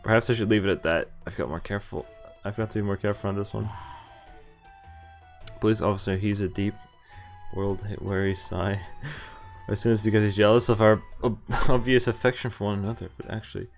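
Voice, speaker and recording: A man's voice: fairly low pitch, fairly even intonation, a little fast, gravelly. A man streaming a visual novel, reading the text aloud and adding casual commentary. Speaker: game streamer. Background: faint game music.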